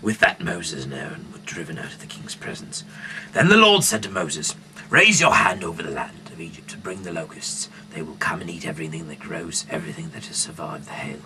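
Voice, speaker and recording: A man with a gravelly voice that fades into a murmur reads scripture aloud haltingly, stumbling over words, with sudden emphasis and off-the-cuff asides. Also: deep voice, big pitch swings, pushed and bright, a little hiss or hum, low-quality recording. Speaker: amateur Bible reader.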